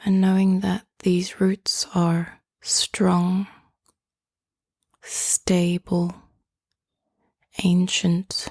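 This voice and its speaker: Soft, flat, hushed meditation guide woman. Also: fairly low pitch, no room sound, clean studio sound. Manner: soft, hushed, often half-whispered delivery; gentle, inviting, unhurried phrasing.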